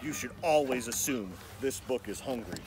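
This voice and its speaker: Man, middle-aged, storybook narration, theatrical, mock-ominous, very expressive intonation, faint outdoor noise. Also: medium pitch, moderate pace. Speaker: nature educator reading aloud. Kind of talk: dramatic children's storybook reading.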